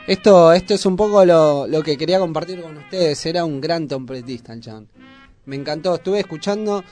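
High-pitched Buenos Aires man, informal radio talk. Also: fairly quick, over music. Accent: Buenos Aires Argentine. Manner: hesitant, informal explaining. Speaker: radio show presenter. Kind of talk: casual music talk on radio.

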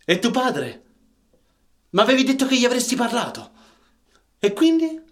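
A man playing a troubled, rough-talking Roman in a radio drama, with a Roman Italian accent and colloquial, emphatic delivery. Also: very high voice, huge pitch swings, moderate pace, full character voice. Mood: agitated, defiant. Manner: colloquial, emphatic, short bursts of questions.

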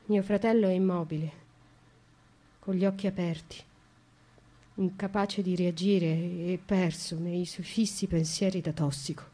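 Haunted young woman, mellow husky voice, hushed radio monologue. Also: fairly low pitch, steady tone. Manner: slow, intimate, hushed narration. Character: haunted young woman recounting dark dreams. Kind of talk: theatrical radio drama monologue.

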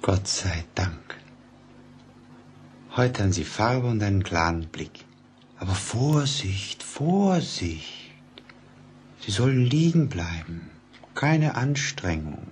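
An actor playing a solicitous but guarded public prosecutor in a radio play, soothing and cautious, in clipped phrases. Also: male voice, lowish voice, very animated, takes it slowly, long pauses. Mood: relieved, soothing. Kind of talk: German radio play acting. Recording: faintly noisy old radio play recording.

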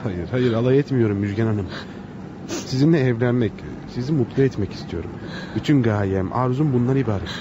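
An actor plays an insistent, devoted suitor in an old radio melodrama. His mid-pitched voice is ardent and declamatory. The sound is muffled, with clear background noise. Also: brisk, lightly acted. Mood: ardent, earnest. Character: earnest, insistent suitor devoted to a fallen woman. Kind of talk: melodramatic Turkish radio theatre acting.

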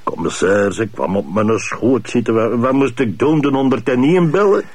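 Een acteur die een brutale, ontwijkende Vlaamse cafébink en verdachte speelt, met volkse, botte praat in een hoorspel. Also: male voice, medium pitch, lively intonation, normal speed, darkish tone, breathy edge. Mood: cocky. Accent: Flemish. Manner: slangy, cheeky, brusque. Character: cocky rough Flemish barfly suspect, gruff and evasive. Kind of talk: colloquial improvised radio drama.

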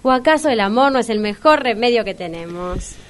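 A woman's voice: fairly high pitch, expressive, a little fast, a little hiss or hum, close to an everyday voice. An actress playing a slick, officious, money-minded Argentine dating agency woman in a comic radio play, wordy and businesslike. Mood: sales pitch. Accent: Argentine, Rioplatense.

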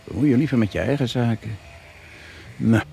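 A man's voice: mid-range voice, moderate pace, vocal fry, warm tone, natural voice. An actor playing a chatty, blunt countryman with a folksy manner in a Dutch radio drama. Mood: suspicious, muttering. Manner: folksy, talks to himself. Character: countryman called the fox, chatty, blunt, meddlesome. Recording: noisy radio drama recording.

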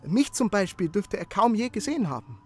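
A breathless eager young messenger. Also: male voice, sits high, sing-song, darkish tone, a little hiss or hum. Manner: stammering, earnest, hurried report. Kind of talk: radio play acting.

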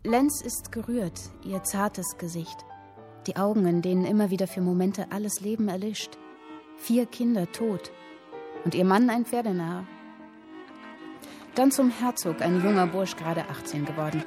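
An actress playing a woman who reads a literary tale aloud in a measured, expressive storytelling manner, in a radio drama. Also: mid-range voice, faint music behind, natural voice. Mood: measured, intent. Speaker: narrator reading a literary account. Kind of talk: dramatic literary narration in present tense.

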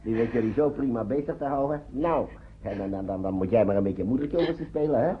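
An actor playing a kindly, reassuring uncle who coaxes small children along in a naturalistic radio play. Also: male voice, mid-range voice, animated, fast talker, natural voice. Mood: cheerful, encouraging. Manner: coaxing, reassuring, often ends on a questioning tag. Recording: dull old radio recording, faint noise.

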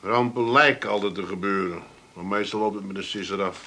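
Low-voiced laconic husband. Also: male voice, a little fast, bright, forceful tone. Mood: phlegmatic, dry. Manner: phlegmatic, dry. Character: laconic, philosophizing husband buried in his newspaper. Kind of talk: 1980s comic radio play acting.

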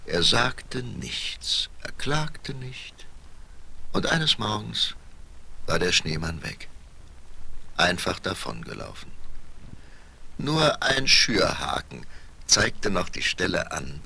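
Deep, gravelly male fairy-tale narrator. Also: big pitch swings, takes it slowly, pauses often, pushed and bright. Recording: faint noise, 1970s vinyl record.

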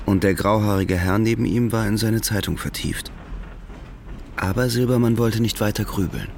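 Low, creaky male narrator. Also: normal speed, slight background noise. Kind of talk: scripted literary narration in German audio drama.

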